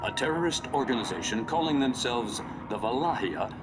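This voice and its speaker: An actor playing a sober male news reporter, speaking in a measured, formal way in a video game news report. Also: medium pitch, lively intonation, lightly acted. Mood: grave, measured. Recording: outdoor city and vehicle noise behind.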